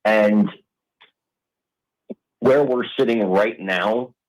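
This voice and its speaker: A man's voice: lowish voice, extremely slow, slight background noise, low-quality recording. Forties guy arguing politics, blunt and streetwise, cuts in a lot. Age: 40s. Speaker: guest. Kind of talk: heated political debate.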